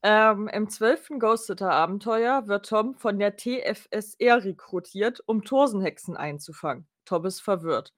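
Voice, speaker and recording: A young woman, a guest on a casual fan podcast, talking in a calm, measured way. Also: mid-range voice.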